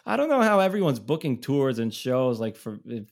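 A middle-aged man from Israel chats as a podcast guest. He tells long road stories in a loose, rambling way, restarting sentences and laughing along. His voice sits at an ordinary middle pitch. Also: very animated, a little fast. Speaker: touring musician, guest. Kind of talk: casual storytelling.

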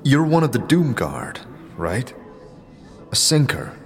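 A man playing a scarred adventurer in an audio drama, hesitant, measured and gently sympathetic. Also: mid-range voice, very animated, gravelly, in a large hall, full character voice. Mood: calm, probing. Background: music.

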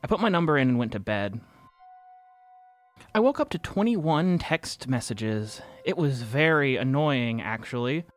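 A man's voice: mid-range voice, lively intonation, measured pace, natural voice. A man acting a wry podcast confessor over music. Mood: dry, sardonic. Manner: dry, self-deprecating, sardonic. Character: wry, guarded young man confessing about a secret online game. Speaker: narrator and protagonist of an audio fiction podcast. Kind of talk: first-person confessional podcast narration, conversational. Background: instrumental music.

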